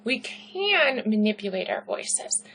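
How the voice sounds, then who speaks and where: Loud, chatty woman lecturing. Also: big pitch swings, slow, rough recording. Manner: loud, pitchy, conversational with rhetorical questions; frequent "right?" and "okay" check-ins. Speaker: instructor. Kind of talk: recorded lecture.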